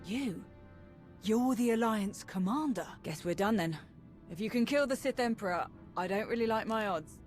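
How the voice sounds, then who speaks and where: A woman's voice: on the high side, animated, moderate pace, natural voice. A woman voicing a weary, wry armed adversary in a video game. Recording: prominent background music.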